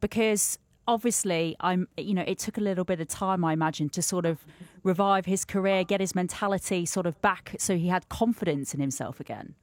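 A woman's voice: fairly low pitch, brisk, dry recording. A woman presenting a sports report fluently and putting questions to a guest. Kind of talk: broadcast sports report and interview.

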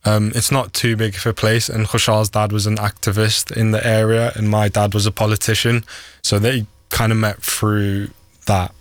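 A man's voice: on the low side, flat delivery, moderate pace, no echo. A young man from Pakistan, a native Pashto speaker, chatting casually as an interview guest. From Swat Valley, Pakistan. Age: young adult. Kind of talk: casual chat.

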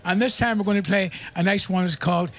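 A man's voice: sits high, fast talker, a bit bright. A Northern Irish man hosting jokey, teasing interviews with people in a crowd at an outdoor event. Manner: jokey, bantering. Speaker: roving radio host doing crowd interviews. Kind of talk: teasing casual vox-pop interviews.

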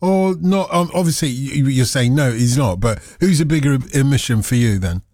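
A man's voice: mid-range voice, animated, normal speed, darkish tone, no echo, clean studio sound. A man hosting a radio phone-in, chatting casually, teasing his callers and cutting in over them.

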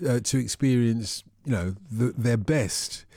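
A man's voice: mid-range voice, unhurried, gravelly, mellow, no echo. A man with a Liverpool accent hosting a radio music show, bantering casually in a playful, matey way. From Liverpool, England. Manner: playful, chummy, calls people mate.

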